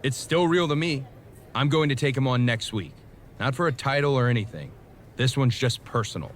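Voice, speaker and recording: A mid-pitched actor as a determined wrestling hero in a game cutscene, with lively inflection. He is terse, plain-spoken and a little exasperated, over a noisy background. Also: moderate pace, close to an everyday voice. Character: determined, straight-talking male wrestling hero, mildly exasperated. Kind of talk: scripted video game cutscene acting.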